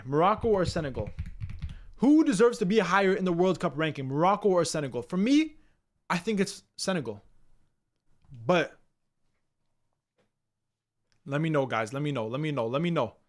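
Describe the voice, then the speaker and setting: A man's voice: on the high side, expressive, normal speed, long pauses. A young man from Canada hosting a live stream, chatting casually and energetically with his viewers in slangy, repetitive talk. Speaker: livestream host.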